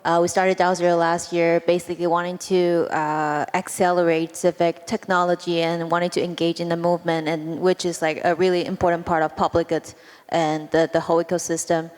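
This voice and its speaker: A woman's voice: fairly low pitch, level tone, brisk, a little mellow, smooth. Panelist woman, chatty and casual, clean voice ringing in a big hall. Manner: chatty, many fillers. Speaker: panelist, event organizer. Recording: long reverb of a large hall.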